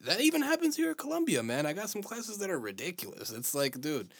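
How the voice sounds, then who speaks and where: A man's voice: sits high, wildly swooping pitch, fast, creaky, slightly rough, no echo, studio quality. A man in his twenties, a musician, chatting casually on a podcast in an emphatic, slangy way, calling people "dude" and "man.". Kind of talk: casual chat.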